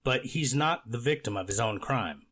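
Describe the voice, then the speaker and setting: A man playing a skeptical judge in a courtroom readers theater, challenging the lawyer bluntly with halting restarts. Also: mid-range voice, lively intonation, a bit bright, breathy edge. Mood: exasperated, blunt. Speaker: actor reading a judge.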